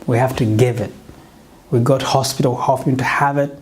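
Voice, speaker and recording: Earnest Ugandan-born man, mellow voice. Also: mid-range voice, steady tone, moderate pace, a little hiss or hum. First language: not English. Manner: earnest, restarts and corrects himself. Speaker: business coach. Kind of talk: motivational website pitch.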